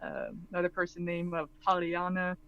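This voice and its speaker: A woman's voice: lowish voice, little pitch movement, moderate pace. A woman co-hosting a live Q&A, explaining a game guide at length with many "um"s and restarts. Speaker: co-host and guide writer. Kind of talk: casual live Q&A chat. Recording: dull lo-fi call audio.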